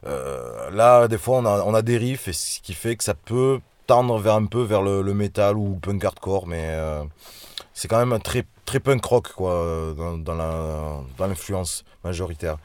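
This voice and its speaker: A man's voice: deep voice, animated, a little slow, a little mellow, no echo. A man from Provence, a band member, chatting casually as he answers interview questions.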